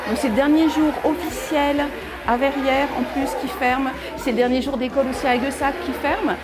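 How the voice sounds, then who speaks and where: A woman's voice: high voice, fairly even intonation, normal speed, rough recording. A woman explaining and announcing things in an informal spoken style. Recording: loud music and crowd noise. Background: loud music, crowd.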